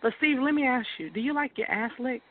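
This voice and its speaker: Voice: high-pitched, quick pace. A host teasing and playfully leading a casual group chat on an adult late-night show. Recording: tinny phone line with some noise.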